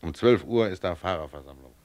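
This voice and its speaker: A man's voice: low voice, expressive, fairly quick, a little mellow. An actor playing a modest, contented tram driver of about forty in a German radio play, plain-spoken, chatty and proud of his work. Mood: chatty, hopeful. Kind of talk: German radio play acting, conversational monologue.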